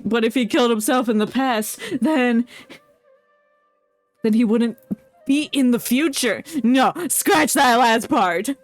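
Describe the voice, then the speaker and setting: A woman's voice: on the high side, slow pace, dry recording, faint music behind. A woman streaming a game with casual, joking commentary in a playful, mocking manner.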